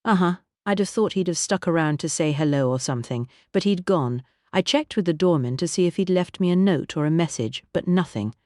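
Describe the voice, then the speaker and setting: A narrator voicing a tall, glamorous American woman entertainer with a soft, smooth American accent, affectionate and motherly. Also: fairly low pitch, expressive, brisk, few pauses, no room sound, clean studio sound, lightly acted. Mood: confiding. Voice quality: smooth, honeyed drawl. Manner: affectionate, calls everyone honey.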